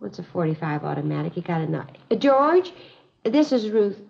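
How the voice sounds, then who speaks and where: Radio drama mother: composed, polite, anxious, husky with creak, dulled vintage recording. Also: lowish voice, big pitch swings, a little mellow, exaggerated voice. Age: 40s. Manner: measured, polite, holding back worry. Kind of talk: 1950s radio drama acting. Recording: muffled old radio recording.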